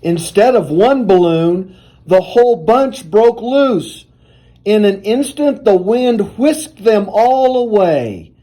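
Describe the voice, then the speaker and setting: A man reading a children's picture book aloud in an animated, deliberate storytelling manner. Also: high-pitched, rough recording.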